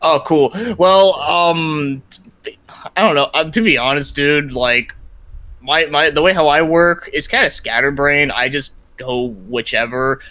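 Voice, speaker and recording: A man's voice: a little high, unhurried, pushed and bright, no echo. A man chatting casually as a podcast guest, rambling and informal, with lots of filler words. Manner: rambling, informal, peppered with "like" and mild swearing. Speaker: podcast guest, YouTube content creator. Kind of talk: casual interview chat about his projects and gaming.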